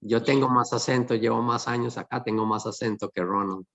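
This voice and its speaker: A man hosting a conversational webinar, explaining things in halting, repeated phrases. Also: mid-range voice, fairly even intonation, vocal fry, fairly bright tone, lo-fi sound. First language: Spanish. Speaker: host.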